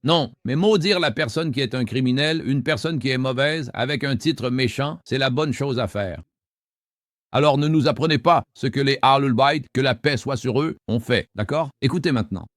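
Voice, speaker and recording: Forceful man hosting a call-in debate. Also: mid-range voice, moderate pace, dry recording, studio quality. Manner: forceful, argumentative, interrupts callers. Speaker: host.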